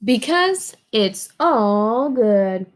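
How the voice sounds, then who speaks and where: A woman reading a children's storybook aloud in an expressive way, asking her young listeners questions. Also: fairly high pitch, drawn-out words. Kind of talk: children's storybook read-aloud with sing-along.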